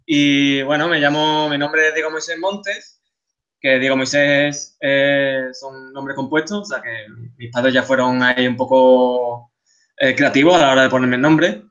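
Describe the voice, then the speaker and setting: A man's voice: mid-range voice, unhurried, muffled recording. Chatty Andalusian man in his thirties. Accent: Andalusian Spanish. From Seville, Spain. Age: 30s. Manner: relaxed, chatty, many restarts and fillers. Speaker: guest speaker, game artist. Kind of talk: conference talk over slides.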